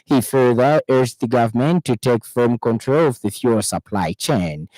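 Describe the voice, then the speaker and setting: A man with a Zambian accent reading a scripted radio news bulletin in a formal, measured way. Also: mid-range voice, warm tone, no echo, poor audio. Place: radio studio.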